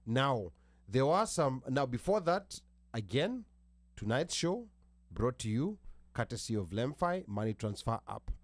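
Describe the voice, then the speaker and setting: A man with an American accent hosting a panel talk show, speaking haltingly with restarts and reading from notes. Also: mid-range voice, very animated, no echo. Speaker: host.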